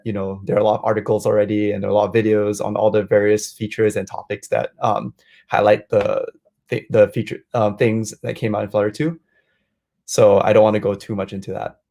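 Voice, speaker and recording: A man from Malaysia speaks over a video call with a low, gravelly voice. He presents at an easy pace, leaning on ums and likes as he explains his work. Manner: relaxed, many ums and likes. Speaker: guest speaker, software engineer. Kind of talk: online meetup presentation.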